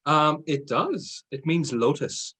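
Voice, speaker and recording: A man's voice: fairly high pitch, animated, slow pace, sharp tone. A man with an Irish accent, a guest on a podcast interview, talking in a chatty, self-deprecating way and laughing easily. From Ireland. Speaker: guest, sales trainer.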